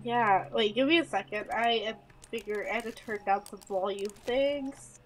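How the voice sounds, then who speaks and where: A woman's voice: fairly high pitch, moderate pace, slight background noise, low-quality recording. A congested woman playing a horror game with a friend, chatty and a little nervous, asking lots of questions. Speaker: co-op gaming partner. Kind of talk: casual gameplay chat.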